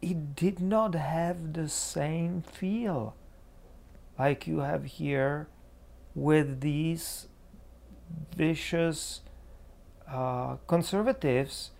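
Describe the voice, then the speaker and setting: A man's voice: on the high side, drawn-out words, gravelly, long pauses, a little mellow. A man with a Czech accent speaking as a preacher in a reflective theological discussion, searching for words as he goes. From Czech Republic. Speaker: preacher, interview guest.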